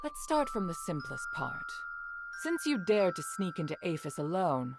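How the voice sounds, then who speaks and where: Game casting: commanding woman leader, lowish register, composed, formal, measured. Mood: businesslike. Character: composed, authoritative female leader of rebel adherents. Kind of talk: video game voice acting.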